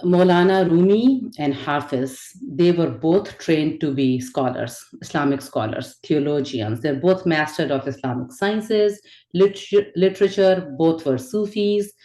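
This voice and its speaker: Low-voiced woman, urdu is her first language, earnest class talk, roomy echo over a video call. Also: measured pace, mellow. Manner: earnest, reflective, with fillers and restarts. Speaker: teacher of an online spirituality class. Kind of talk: informal lecture.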